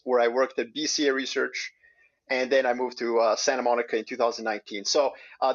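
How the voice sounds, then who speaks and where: A man from Serbia, a guest on an interview podcast, talking in an upbeat, animated storytelling way. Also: medium pitch, dull audio. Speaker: guest, investment strategist. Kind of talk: casual interview chat. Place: remote, in Switzerland.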